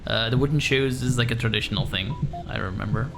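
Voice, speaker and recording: A young man with a Dutch accent chatting casually while streaming a game, often laughing and filling pauses with "um" and "like". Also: mid-range voice, creaky, fairly bright tone. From Netherlands. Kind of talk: casual live-stream chat while gaming. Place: echoey room. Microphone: desk microphone. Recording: room echo with game music behind.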